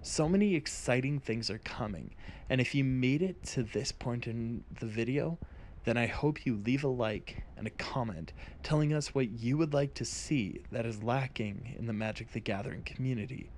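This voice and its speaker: A man who hosts the channel reading a fantasy story aloud in a measured, deliberate way. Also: medium pitch, darkish tone, a little hiss or hum. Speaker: channel host and narrator. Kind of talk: scripted story narration.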